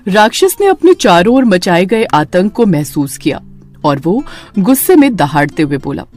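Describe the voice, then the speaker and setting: A woman's voice: lowish voice, sing-song, normal speed, darkish tone, soft background music. A woman narrator reading a scripted horror story in a dramatic storytelling manner.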